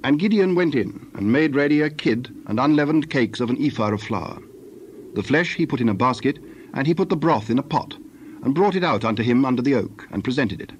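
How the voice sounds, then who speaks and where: A man narrating scripture from a script in a measured, formal manner. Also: medium pitch, animated, muffled recording, a little hiss or hum.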